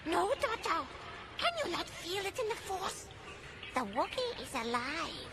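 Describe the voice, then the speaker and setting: A woman's voice: very high voice, sing-song, unhurried, heavy background noise, full character voice. A woman voicing a small, wizened alien Jedi hermit in a sci-fi audio drama, speaking in odd, cryptic broken phrases. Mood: insistent. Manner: broken, cryptic speech in third person. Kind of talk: sci-fi radio drama acting. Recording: background effects and ambience.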